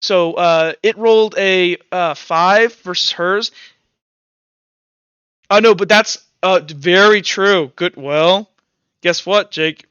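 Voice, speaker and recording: High-voiced man running a tabletop game. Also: slow, pushed and bright, clean tone, no echo, highs cut off. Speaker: game master. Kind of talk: tabletop roleplay narration and casual rules talk.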